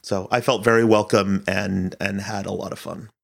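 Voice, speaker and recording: A man's voice: lowish voice, steady tone, moderate pace, creaky. A man being interviewed as a director, talking casually with hesitant, searching phrasing.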